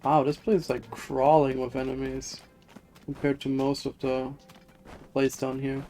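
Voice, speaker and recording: A man's voice: medium pitch, level tone, unhurried, dark tone, slight background noise. A man giving casual, rambling live commentary on a video game, thinking aloud in a self-deprecating way. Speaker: game streamer.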